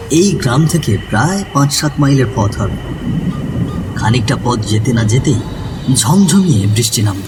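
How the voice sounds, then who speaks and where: A man with a medium-pitched voice narrates a scripted ghost story with dramatic suspense. He sounds somewhat distant from the microphone. Audible ambient noise runs behind his storytelling. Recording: distant voice over noisy ambience.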